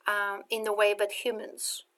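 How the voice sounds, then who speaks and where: A woman with a Polish accent, a native Polish speaker, giving a recorded university lecture in a slow, deliberate way with rhetorical questions. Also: medium pitch, completely flat delivery, sharp tone.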